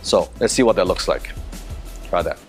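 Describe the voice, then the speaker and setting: A man's voice: deep voice, normal speed, soft background music. A man teaching a martial arts lesson, telling a personal story and coaching a drill in an earnest, conversational way with frequent restarts. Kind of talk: teaching a self-defense lesson.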